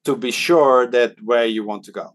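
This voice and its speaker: A Dutch man talks through his points in a relaxed interview, stopping often on "uh" and restarting his words. His voice sits at an ordinary middle pitch for a man. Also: normal speed. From Netherlands. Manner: hesitant, many fillers and restarts. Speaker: podcast guest, startup advisor. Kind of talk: casual interview talk. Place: Hong Kong.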